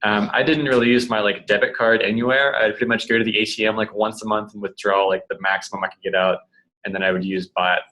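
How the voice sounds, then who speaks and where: A man's voice: lowish voice, fairly even intonation, fast talker, sharp tone, a little hiss or hum, poor audio. Easygoing twenty-year-old guy. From United States. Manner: easygoing, lots of "like". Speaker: student panelist. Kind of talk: casual Q&A answers.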